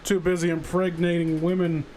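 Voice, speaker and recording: A man's voice: fairly high pitch, little pitch movement, moderate pace, mellow. A man hosting a casual livestream group call, with a teasing, profane way of talking. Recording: faint background noise, livestream group call.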